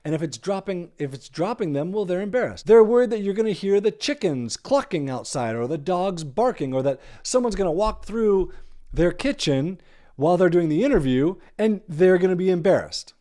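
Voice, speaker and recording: A man's voice: high-pitched, animated, normal speed, mellow. An American man hosting a podcast, giving conversational advice in an emphatic way, repeating key phrases for stress. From United States. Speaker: podcast host.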